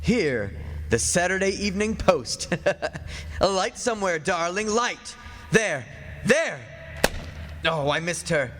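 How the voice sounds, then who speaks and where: An actor playing a smug, murderous husband who sweet-talks and then panics, in old-time radio drama style. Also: male voice, high voice, expressive, takes it slowly, a bit bright, long reverb tail, exaggerated voice. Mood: menacing, agitated. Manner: theatrical, muttering sweet talk to himself. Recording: stage radio play with music behind.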